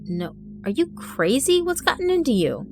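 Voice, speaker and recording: A woman's voice: mid-range voice, expressive, unhurried, natural voice. A woman voicing a frightened young man who stammers and sounds jumpy. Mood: alarmed. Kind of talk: audiobook character voice. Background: music.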